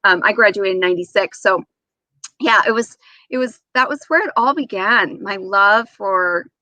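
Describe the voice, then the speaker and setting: A woman in her forties speaking as an interview guest, telling casual anecdotes in an animated way and acting out remembered dialogue. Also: medium pitch, moderate pace, dry recording. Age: 40s.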